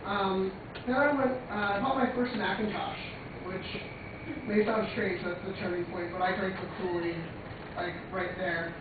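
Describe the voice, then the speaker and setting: A woman's voice: mid-range voice, steady tone, unhurried. A woman in her twenties from Long Island gives an informal, rambling personal presentation in a conversational way, with many likes. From Long Island, United States. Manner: rambling, conversational, uses "like" often. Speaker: student presenter, creative trainer. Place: echoey room, recorded from far off. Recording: muffled lo-fi, echoey room, distant mic, noisy.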